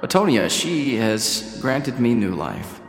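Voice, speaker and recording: A man's voice: mid-range voice, very animated, takes it slowly, echoey room, in a large hall, soft background music. A man voicing a young male sphinx cub who speaks in a grand, formal, declaiming way. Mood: haughty.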